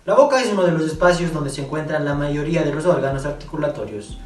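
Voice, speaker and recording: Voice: mid-range voice, expressive, quick pace. A young adult student giving an explanatory class presentation, recorded with echo and at a distance from the microphone.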